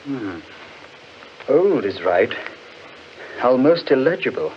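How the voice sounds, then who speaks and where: An actor playing a sceptical gentleman in a 1940s radio horror drama, measured and declamatory. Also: medium pitch, sing-song. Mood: grave. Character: brisk, sceptical gentleman, dismissive of superstition. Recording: muffled, noisy 1940s radio recording.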